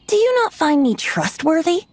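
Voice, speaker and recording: A boastful, playful young bard from a fantasy video game, speaks in a high, swooping voice. He is theatrical and teasing, savoring flowery phrases, with music playing behind him. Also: big pitch swings, moderate pace, dry recording. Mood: boastful, earnest.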